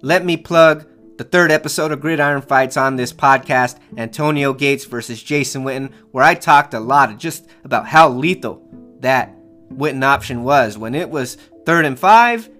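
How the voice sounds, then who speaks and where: A man's voice: medium pitch, normal speed, fairly bright tone, soft background music. A man hosting a sports podcast, recounting football games in a casual, opinionated, slangy way like an emotional diehard fan.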